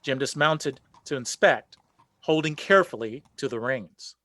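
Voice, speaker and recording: A man's voice: medium pitch, a little slow, sharp tone, dry recording. A man narrating a folk legend as the storyteller in a scripted radio play. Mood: storytelling.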